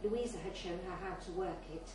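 A woman's voice: lowish voice, moderate pace. A woman reading a literary short story aloud as scripted narration at a live event in a bar. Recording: very echoey, distant mic, faint noise.

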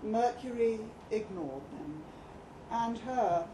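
A woman reading a short story aloud at a live event, with expressive, deliberate and theatrical narration. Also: fairly high pitch. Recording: echoey live room, background noise.

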